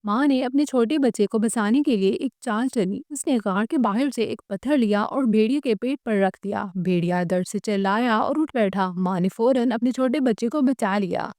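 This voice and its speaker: A woman's voice: on the high side, darkish tone, dry recording, studio quality. A woman storyteller reading a scripted tale as a narrator.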